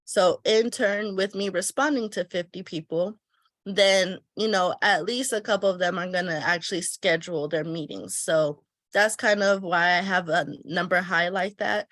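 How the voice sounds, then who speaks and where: A woman's voice: lowish voice, normal speed, dry recording. A woman who runs a travel business gives a casual step-by-step tutorial on weekly planning, thinking aloud as she fills in her schedule, her voice a bit stuffy from a cold. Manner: thinks aloud while filling in a planner, relaxed and chatty. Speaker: travel agency owner teaching planning.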